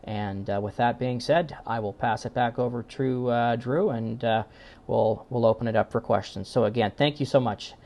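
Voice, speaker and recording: A man's voice: mid-range voice, fairly even intonation, moderate pace, darkish tone. A man giving an informal recorded presentation in an earnest, wordy way with formal phrasing. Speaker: conference presenter, amateur radio exam team founder.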